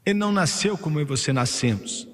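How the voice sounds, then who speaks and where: A man preaching a sermon emphatically, slowing and rising on key phrases and joking with the congregation. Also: fairly high pitch, expressive, a bit bright. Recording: long hall reverb.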